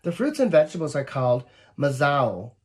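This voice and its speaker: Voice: mid-range voice, very animated, a little fast. A volunteer reading a children's picture book aloud expressively, with asides that speak straight to young listeners. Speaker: volunteer storybook reader.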